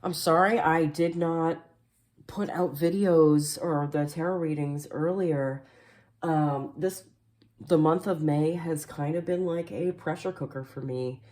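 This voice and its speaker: A woman reading tarot cards as a casual voice-over, sounding a little nasal and hoarse from allergies, chatty and full of "like" and "um". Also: low-pitched, measured pace, darkish tone. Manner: chatty, filler-heavy, frequent "like" and "um". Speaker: tarot reader. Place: home, voice-over behind the camera.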